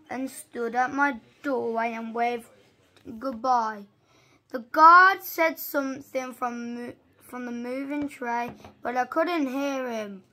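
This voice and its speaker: A young reader reading a storybook aloud haltingly, stumbling over and repeating words. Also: medium pitch, sharp tone.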